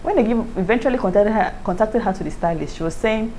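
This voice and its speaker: A woman with a Nigerian accent, chatting casually to her viewers in a lively way and often breaking into laughter. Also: mid-range voice, fast talker, a little hiss or hum, poor audio. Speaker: YouTuber. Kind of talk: casual review chat to camera.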